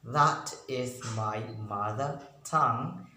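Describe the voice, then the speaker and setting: A man's voice: mid-range voice, very slow, sharp tone. A man language tutor from North Sumatra, explaining casually and listing his points one by one, dropping in English words. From Batak, North Sumatra, Indonesia. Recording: echoey room with faint noise.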